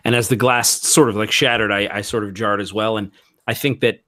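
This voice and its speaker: Low, dry-sounding man improvising game narration. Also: fairly even intonation, fairly quick, fairly bright tone, dry recording. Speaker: player in a mystery role-playing game. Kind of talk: improvised description of his character's actions.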